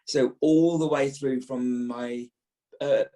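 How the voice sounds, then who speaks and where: A man's voice: mid-range voice, fairly even intonation, slow, dark tone. A British man in his early fifties with a trained actor's voice, reminiscing as a guest in a podcast interview.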